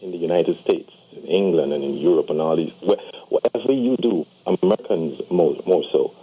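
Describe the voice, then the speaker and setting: A man from Guyana calling in to a radio show, talking haltingly with many fillers. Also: deep voice, warm tone, husky. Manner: hesitant, many fillers and restarts. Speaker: caller. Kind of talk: phone-in call. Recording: phone line.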